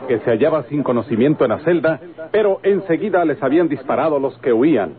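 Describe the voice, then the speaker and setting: A man's voice: on the high side, animated, fast talker, crowd noise. A man reading scripted narration for a radio drama in a formal, declamatory way. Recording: tinny old radio recording with music.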